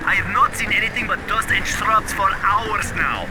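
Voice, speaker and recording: A man's voice: sits high, moderate pace, music in the background, lightly acted, poor audio. An actor playing a male militant scout making a military radio report from patrol in a video game. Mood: matter-of-fact, weary.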